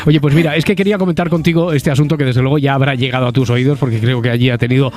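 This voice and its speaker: A man's voice: mid-range voice, fairly even intonation, rapid-fire, a crowd in the background. A male radio host leading a studio interview, talking in a loose, wry way with lots of fillers and restarts. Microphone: studio mic.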